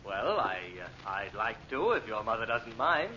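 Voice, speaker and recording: Suave, lively flatterer on old radio. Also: male voice, fairly low pitch, moderate pace. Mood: affable. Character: Gordon Douglas, smooth flirtatious foreign correspondent, secretly villain's agent. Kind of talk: old-time radio drama acting. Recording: dull, noisy old radio recording.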